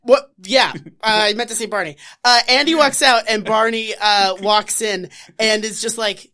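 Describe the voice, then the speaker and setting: A woman's voice: on the high side, moderate pace, sharp tone. A woman co-hosting a casual comedy podcast, swearing freely and mocking characters. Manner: profane, acts out characters in quotes. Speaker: podcast co-host.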